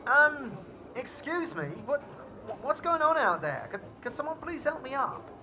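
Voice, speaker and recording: A man's voice: very high voice, very animated, unhurried, heavily acted, poor audio. A man voicing a cheeky, sarcastic talking skull sidekick in a scripted audio drama. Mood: flustered pleading. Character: wisecracking disembodied male skull, cheeky sidekick.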